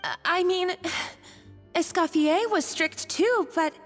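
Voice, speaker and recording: A flustered young cook, high-pitched and stammering, always on the verge of tears. Her apologetic voice sits in echoey game audio with faint music beneath. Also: slow. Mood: earnest. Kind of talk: video game voice acting.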